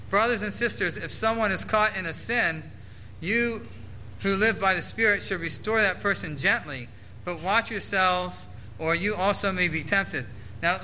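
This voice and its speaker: A man's voice: high voice, bright, forceful tone, a little hiss or hum, rough recording. A man preaching a sermon to his church, earnestly reading scripture aloud and explaining it. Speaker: pastor.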